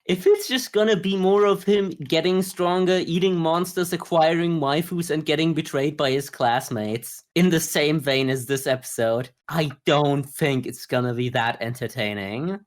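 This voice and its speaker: A man co-hosting a podcast, giving a casual review in a measured, analytical, conversational way. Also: fairly high pitch. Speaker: podcast co-host. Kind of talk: casual anime review discussion.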